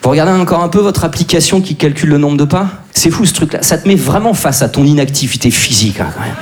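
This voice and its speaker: A man's voice: a little high, expressive, fast. A man in his forties doing a crude, brash, self-deprecating stand-up set. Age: 40s. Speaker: stand-up comedian. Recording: live show with audience.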